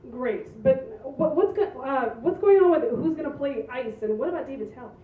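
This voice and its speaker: Exasperated young female producer , played by a woman at a table read: high-voiced, impatient, distant tinny sound. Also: animated, moderate pace, echoey room. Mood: businesslike, doubtful. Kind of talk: amateur script table read.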